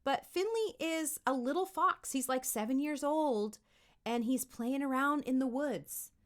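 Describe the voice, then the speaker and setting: A woman's voice: high-pitched, measured pace. A woman giving an informal lesson as a voice acting coach for kids, encouraging and emphatic as she demonstrates. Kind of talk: informal instructional video aimed at kids.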